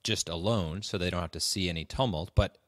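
A middle-aged American man co-hosting a podcast in casual conversation, often halting and repeating the starts of phrases. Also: low voice, a bit bright, no echo, studio quality. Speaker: podcast co-host.

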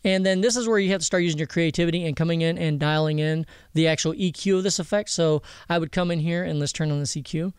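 A man giving a casual software tutorial, explaining informally and directly to viewers. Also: a little high, quick pace, hardly any pauses, smooth, dry recording, clean studio sound. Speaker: audio mixing instructor. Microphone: close voice-over mic over screen recording.

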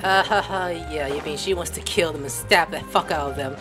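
A game streamer giving loud, casual, jokey reaction commentary with plenty of swearing, close to the mic. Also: mid-range voice, brisk, music in the background.

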